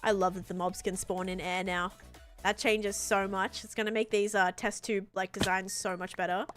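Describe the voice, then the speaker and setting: A woman's voice: medium pitch, flat delivery, normal speed, a bit bright. Chatty woman live-streaming a game over music. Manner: informal and chatty, thinking aloud. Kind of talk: casual live-stream gaming commentary, chatting with viewers. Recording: music behind the voice. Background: background music.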